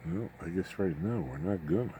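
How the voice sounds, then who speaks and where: Very low-voiced man, folksy hobbyist walkthrough. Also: normal speed, warm tone, slight background noise. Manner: folksy, jokey, rambling. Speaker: amateur radio hobbyist presenting his home-built project. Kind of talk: informal unscripted technical walkthrough.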